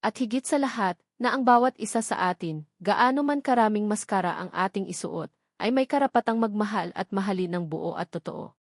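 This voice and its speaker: A woman narrating a romance story in a soft, heartfelt, measured way, then thanking her listeners as the author. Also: mid-range voice, no echo, studio quality.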